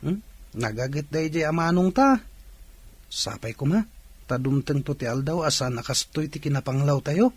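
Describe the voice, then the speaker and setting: An actor playing an earnest, polite young Ilocano student and elder brother in a radio drama. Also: male voice, fairly high pitch, expressive, normal speed, slightly rough.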